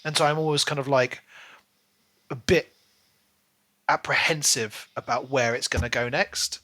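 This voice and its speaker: A man with a bright, forward voice at a middling pitch talks casually as a podcast co-host. He is chatty and hedges as he goes, thinking through his opinions on books aloud. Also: fairly even intonation, a little slow. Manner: chatty and hedging, with frequent "like" and "you know". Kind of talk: casual book discussion.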